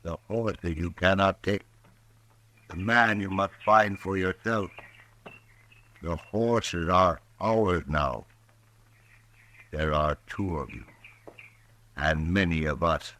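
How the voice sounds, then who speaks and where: A proud chief, deep and slow, short formal lines. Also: male voice, sharp tone, full character voice. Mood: stern. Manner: slow, formal, clipped speech. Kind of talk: 1950s radio drama acting.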